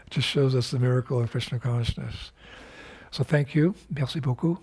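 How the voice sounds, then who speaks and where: Husky-voiced older man giving a reflective message. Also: medium pitch, a little mellow. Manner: unhurried, reflective, with frequent fillers and restarts. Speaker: spiritual teacher. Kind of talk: recorded video message.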